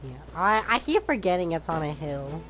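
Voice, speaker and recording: A woman giving casual, chatty playthrough commentary as she reacts to a game's story in an informal, expressive way. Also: low voice, moderate pace. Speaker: gaming streamer reacting to game story. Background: game music.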